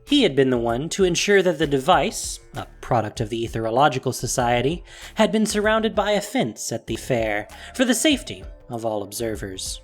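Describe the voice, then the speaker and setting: Narrator, male: dramatic scripted storytelling, swooping intonation, emphatic stresses, soft music behind. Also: medium pitch, sing-song, normal speed.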